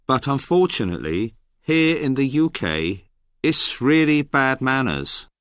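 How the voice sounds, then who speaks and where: A man giving a clearly spoken scripted monologue for a language-course listening exercise. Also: mid-range voice, very animated, measured pace, a bit bright, no echo, clean studio sound. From Hong Kong.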